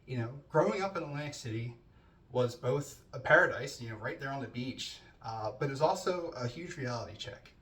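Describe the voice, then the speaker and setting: A man's voice: mid-range voice, expressive, fairly quick, fairly bright tone. Man in his thirties, roomy distant sound. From New Jersey, US. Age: 30s. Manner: conversational with frequent fillers and restarts. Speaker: student introducing himself. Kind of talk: casual self-introduction. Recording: roomy, distant mic with faint ambience.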